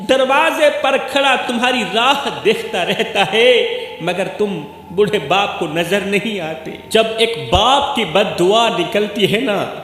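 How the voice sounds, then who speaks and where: High-voiced impassioned male preacher, echoing. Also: fairly bright tone. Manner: impassioned, repetitive pleading delivery. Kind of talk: emotional sermon. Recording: heavy echo with long hall reverb and music.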